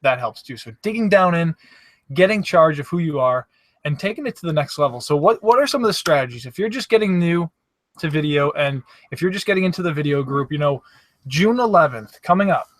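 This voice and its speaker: A man's voice: on the high side, animated, brisk, no room sound. A man hosting a live show, coaching viewers on making videos in an upbeat, encouraging way and speaking straight to them. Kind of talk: casual motivational coaching talk. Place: campsite.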